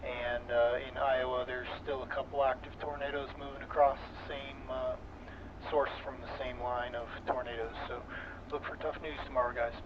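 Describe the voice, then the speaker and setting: A man's voice: medium pitch, little pitch movement, normal speed. A man, a ham radio operator checking in on a net in a serious, matter-of-fact way. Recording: dull radio audio with clear hiss.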